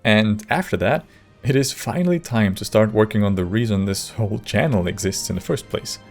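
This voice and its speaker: Male video narrator: lowish, creaky voice, measured, dryly wry. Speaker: video creator and modder. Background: faint music.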